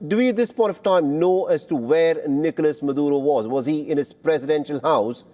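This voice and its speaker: A man's voice: on the high side, darkish tone. Male news anchor presenting breaking news. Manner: measured, clear newsreader delivery.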